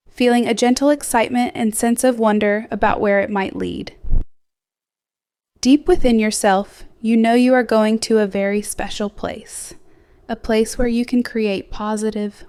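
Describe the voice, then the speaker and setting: A woman gently guiding a sleep hypnosis meditation in a slow, soothing, scripted delivery with softly trailing phrases. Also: medium pitch, clear, resonant tone. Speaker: hypnosis guide.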